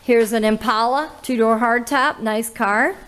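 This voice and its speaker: A woman, hosting a casual auction walkthrough in an old barn full of cars, listing items with little "uh" pauses. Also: fairly high pitch, steady tone, fairly bright tone, smooth. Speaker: auctioneer host giving a car walkthrough. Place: inside old barns and sheds full of cars.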